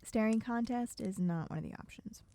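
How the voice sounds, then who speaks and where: A woman's voice: on the high side, moderate pace, dark tone, close to an everyday voice. A woman playing a wry, bossy, guarded survivor in a dystopian audio roleplay, speaking to a silent listener in a dry, chiding, sardonic way. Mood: dry, resigned.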